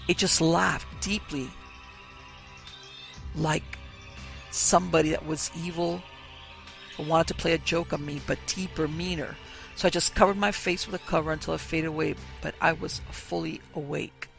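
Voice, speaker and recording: A man narrates ghost stories at a measured pace, his voice thick with gravelly fry and sitting slightly above a typical male pitch. Quiet music plays softly underneath. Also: fairly even intonation. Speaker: podcast host reading listener stories.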